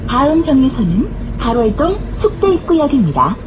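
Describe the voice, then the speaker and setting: High-pitched woman making formal bus announcements. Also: big pitch swings, normal speed, highs cut off. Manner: formal, carefully enunciated. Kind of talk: scripted public transit announcement. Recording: clear vehicle noise throughout. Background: bus interior noise.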